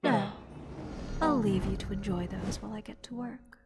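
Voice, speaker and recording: A woman voicing a playful, haughty goddess in an intimate fantasy audio roleplay, teasing and condescending toward a captive mortal in measured, theatrical speech. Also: mid-range voice, vocal fry, background noise, natural voice. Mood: gleeful, condescending. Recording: long reverb with music behind. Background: orchestral music.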